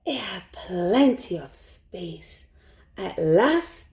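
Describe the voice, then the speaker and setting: A woman storytime teacher reading a rhyming picture book aloud to young children in an expressive way. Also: lowish voice, drawn-out words. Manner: expressive, hushed suspense, asks listeners questions.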